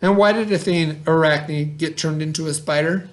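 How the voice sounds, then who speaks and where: A man's voice: fairly high pitch, normal speed. A man teaching children, reading a story aloud and explaining it informally, with questions to his pupils. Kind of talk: children's read-aloud and lesson.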